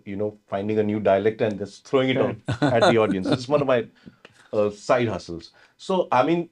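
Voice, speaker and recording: A man's voice: medium pitch, darkish tone. A man, a director in a casual interview, explaining his work with enthusiasm.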